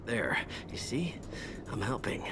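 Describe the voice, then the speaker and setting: An actor playing a wounded, panicky and grudging mercenary in a video game, halting and gasping with pain. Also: male voice, big pitch swings, whispered. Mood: strained, grudging. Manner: halting, cursing, swinging in pitch.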